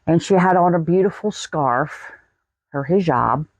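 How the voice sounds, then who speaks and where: A woman's voice: on the low side, animated, unhurried, darkish tone, dry recording, muffled recording. An American woman in her sixties telling a personal story in a folksy, plain-spoken way. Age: 60s. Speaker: vlogger.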